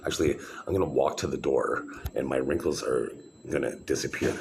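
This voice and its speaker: A man's voice: rumbling low, brisk, noisy background. A middle-aged man rambling to the camera in a casual vlog, meandering with many fillers and restarts. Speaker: vlogger showing his own videos.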